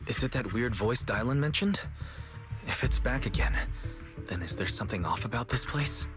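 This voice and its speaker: An actor playing an earnest, observant young male companion in a video game, with a polite, measured way of talking. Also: medium pitch, expressive, over music, close to an everyday voice. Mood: concerned, thoughtful. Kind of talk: scripted video game voice acting.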